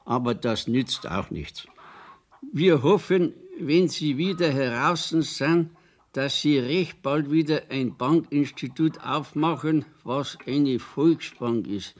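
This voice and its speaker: An actor playing a simple, earnest Bavarian countryman in a radio play, speaking haltingly as he composes a letter, with a Bavarian accent. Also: a little high. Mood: earnest, indignant. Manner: slow, halting dictation to himself as he writes. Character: simple, devoted rural Bavarian man laboriously writing a letter. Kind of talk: radio play acting in dialect.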